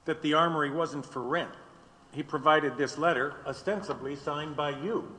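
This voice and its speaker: A man's voice: fairly high pitch, moderate pace, sharp tone. An actor playing an activist's cautious, conciliatory male companion in an audio drama. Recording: echoey, noisy, reverberant hall.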